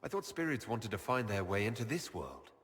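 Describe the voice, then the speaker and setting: An actor playing a steady, supportive, plain-spoken male elven leader in a video game drama. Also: on the low side, brisk, husky, no room sound, full character voice.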